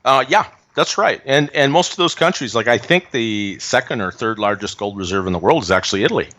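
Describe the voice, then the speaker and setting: A man's voice: medium pitch, expressive, a little fast, bright, forceful tone. A man giving opinionated, emphatic commentary on politics and economics in a casual chat. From Canada. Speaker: guest commentator.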